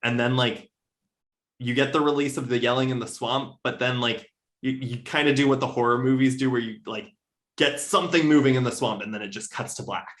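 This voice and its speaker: A man's voice: mid-range voice, steady tone, brisk, a bit bright. A man chatting casually as a podcast panelist, rambling a little as he thinks aloud. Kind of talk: casual chat about adapting a short story.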